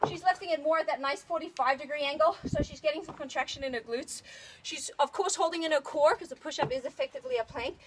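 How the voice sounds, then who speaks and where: A woman with a very high, loud voice coaches a workout outdoors, upbeat and encouraging as she counts down each set. She is recorded on a phone outside. Clear background noise runs under her voice. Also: quick pace. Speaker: fitness trainer. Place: outdoors in the sun.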